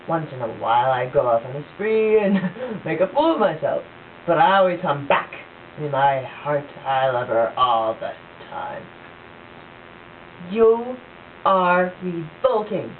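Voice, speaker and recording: A woman's voice: deep voice, very animated, slow pace, recorded from a distance, exaggerated voice. A woman voicing a scornful, blustering rich husband in an amateur puppet show, with a sneering, huffy delivery. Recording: tinny lo-fi home recording with hiss.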